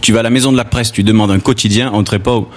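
A man's voice: lowish voice, fairly even intonation, racing. A male comedian from southern France with a Gard accent, performing a wry stand-up monologue and addressing the audience directly. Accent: southern French, Gard. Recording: faint background noise.

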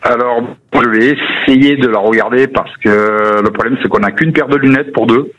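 A male caller chats on air in a relaxed, easygoing way, his voice at an ordinary mid pitch. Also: moderate pace, muffled recording. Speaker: radio caller. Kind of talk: phone-in chat.

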